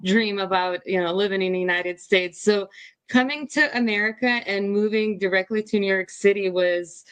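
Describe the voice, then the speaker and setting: Female guest from Lithuania, smooth clear voice, reflective veteran storytelling. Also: medium pitch, normal speed. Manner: open, reflective, steady storytelling. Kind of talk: interview answers.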